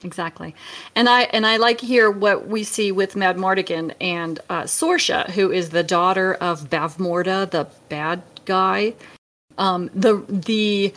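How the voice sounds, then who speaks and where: A woman's voice: medium pitch, steady tone, unhurried, fairly bright tone. Analytical woman co-hosting a film podcast. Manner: analytical, thinks aloud while comparing stories. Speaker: podcast co-host and author. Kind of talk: casual film discussion.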